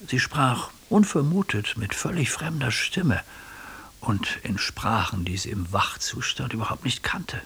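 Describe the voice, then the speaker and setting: Earnest older man, slow and gravelly. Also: medium pitch, animated, bright, forceful tone, exaggerated voice. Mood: earnest, reflective. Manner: reflective, earnest, educated diction. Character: earnest ailing older man recalling mystical experiences. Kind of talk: radio drama acting. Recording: faint tape hiss.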